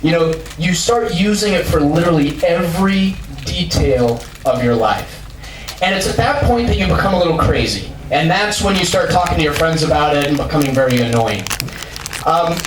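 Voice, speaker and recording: A man giving a casual conference talk to a live audience, loud and chatty, with many fillers and restarts. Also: on the high side, background noise. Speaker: conference speaker. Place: large university lecture hall. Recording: echoey hall picked up from far off.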